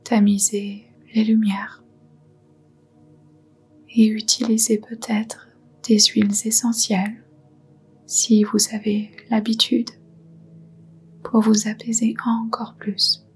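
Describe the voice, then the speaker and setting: A woman softly guiding a meditation in a breathy, whispery voice, soothing and unhurried. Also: a little high, little pitch movement. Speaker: yoga nidra guide. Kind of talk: guided meditation, soft whispered narration.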